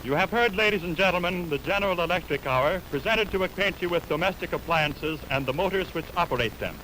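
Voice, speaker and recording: A man's voice: high voice, brisk, very noisy. A man announcing formally from a script in 1920s radio style, on an old warped film recording of a broadcast.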